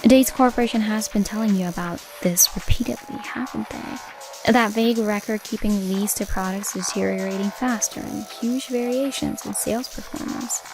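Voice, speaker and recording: A woman's voice: mid-range voice, normal speed, over music, natural voice. A woman voicing an earnest, assertive young female store manager in a comic dub, speaking emphatically. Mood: firm, challenging. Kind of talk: dubbed comic voice acting.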